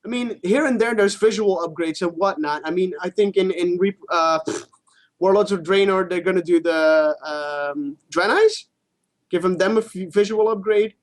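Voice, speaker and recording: A man's voice: sits high, moderate pace. A man co-hosting a gaming show, chatting casually and giving blunt opinions with some casual swearing.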